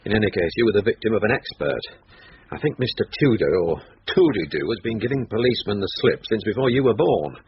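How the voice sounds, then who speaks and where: A man acting a courteous, dry-witted English police inspector in a radio drama, politely formal and gently probing. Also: on the low side, very animated, fast. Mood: fatherly, reassuring. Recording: dull, noisy radio drama recording.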